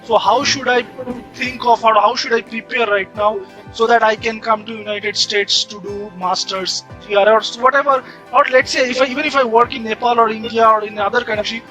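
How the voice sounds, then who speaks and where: A man's voice: very high-pitched, fairly even intonation, a little fast, over music, poor audio. A man hosting a conversational interview, rephrasing his remarks and questions as he goes. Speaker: interviewer, host.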